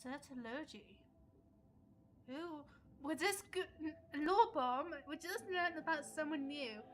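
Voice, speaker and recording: A woman game streamer giving casual, expressive, exclamatory reactions during a live playthrough. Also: high voice, slow pace, slight background noise. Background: game music.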